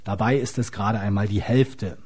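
A man's voice: lowish voice, fairly even intonation, moderate pace, a little mellow, slightly rough. A man reading scripted documentary narration.

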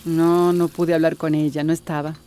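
Actress as a mellow, sensible Central American housewife. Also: female voice, sits low, flat delivery, moderate pace, slight background noise, natural voice. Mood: amused, weary. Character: kindly, sensible pregnant daughter-in-law running a household. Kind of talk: radio drama acting.

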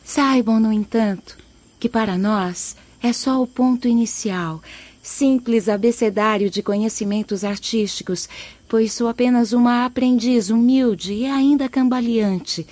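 A woman's voice: on the high side, unhurried, darkish tone, dry recording. A woman playing a humble female poet spirit who earnestly admonishes her listeners in a radio drama.

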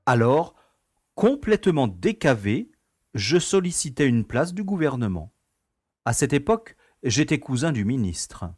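Man playing an urbane, animated prison director. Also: mid-range voice, a little slow, husky, no echo, clean studio sound. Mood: wry. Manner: wry, nostalgic, genteel storytelling. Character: urbane, easygoing prison director, former playboy. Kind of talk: audio drama acting.

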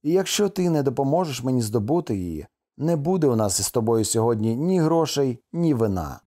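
A man's voice: medium pitch, animated, brisk, warm tone, pitched above the performer's own voice, natural voice. A man voicing a brooding husband from a storybook, stern and desperate, with an emphatic delivery. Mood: urgent, persuasive.

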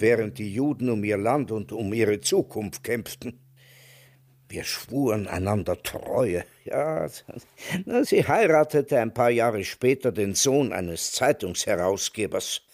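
Elderly male veteran, wry, reflective, unhurried, animated intonation, dry close sound, radio drama. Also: mid-range voice, no echo, exaggerated voice. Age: older. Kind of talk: German radio drama acting.

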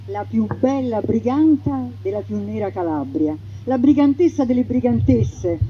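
A woman's voice: a little high, a little hiss or hum. Woman narrating with dramatic emphasis. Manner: dramatic, emphatic, swings between loud and hushed. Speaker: stage narrator. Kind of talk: theatrical storytelling narration. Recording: dull lo-fi radio broadcast.

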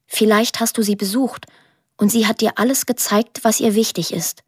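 A woman playing a lonely, dreamy twelve-year-old girl in a quiet, poetic radio drama monologue. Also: medium pitch, fairly even intonation, normal speed, breathy edge, no echo, studio quality, close to an everyday voice. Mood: tender, lonely.

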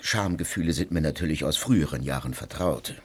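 An actor playing a sardonic elderly jurist, dry and clipped in a radio play. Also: male voice, a bass voice, a little fast, husky. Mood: cynical. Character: sardonic elderly male jurist, cold and evasive.

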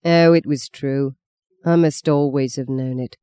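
A man's voice: medium pitch, steady tone, measured pace, mellow, clean tone, no echo, natural voice. A narrator as a bitter young man. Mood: bitter mockery. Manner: mocking, embittered. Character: bitter, scornful, wounded young man defying his father.

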